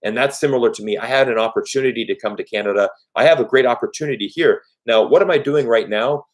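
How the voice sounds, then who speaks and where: A man, raised speaking German first, hosts a live-stream Q&A at a medium pitch. He talks earnestly and off the cuff, circling back on his phrases and filling gaps with "you know". His delivery is sincere and conversational. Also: fast. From United States. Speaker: YouTube host.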